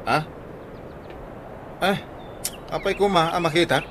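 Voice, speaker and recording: An actor playing a sly, evasive village husband in an Ilocano comedy radio drama. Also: male voice, on the high side, a little slow. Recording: noisy track with animal sounds.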